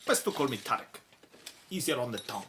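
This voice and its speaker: A man's voice: medium pitch, sing-song, fast, noisy background, lightly acted. A man playing a courteous but firm archaeologist in a radio drama. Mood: friendly, thoughtful.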